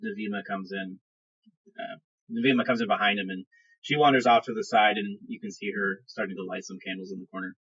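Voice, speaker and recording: A man narrating a tabletop game as game master, improvising descriptions in a halting storytelling manner. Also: fairly low pitch, fairly bright tone, slightly rough, muffled recording.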